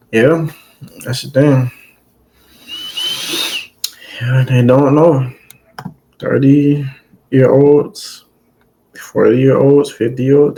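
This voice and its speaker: A man's voice: mid-range voice, dark tone, poor audio. A streamer, reading a story aloud and breaking off for loose, joking asides to his chat. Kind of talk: casual commentary between read passages.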